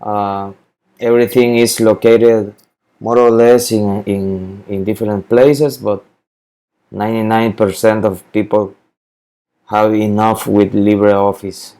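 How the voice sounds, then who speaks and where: A man talks through a software tutorial at an unhurried pace, stumbling and repeating words as he searches for them. His voice is low and mellow. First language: Spanish. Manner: halting, repeats words, many fillers. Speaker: spreadsheet tutorial maker. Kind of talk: screen-recorded software walkthrough.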